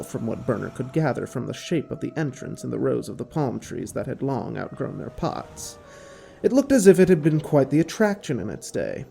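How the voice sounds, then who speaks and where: A man's voice: mid-range voice, vocal fry, warm tone. A man reading scripted story narration for an audio drama, with music underneath.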